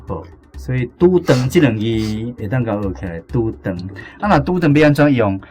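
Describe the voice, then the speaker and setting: A man's voice: medium pitch, very animated, brisk, a little mellow, soft background music. A man with a Taiwanese accent hosting a language quiz in a conversational way, moderating between contestants and teachers. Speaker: host of a radio language quiz segment.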